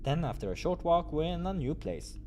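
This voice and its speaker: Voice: mid-range voice, animated, normal speed, darkish tone. A person narrating a visual novel playthrough, reading aloud and adding casual commentary. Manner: acts out dialogue between asides. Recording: clear background noise.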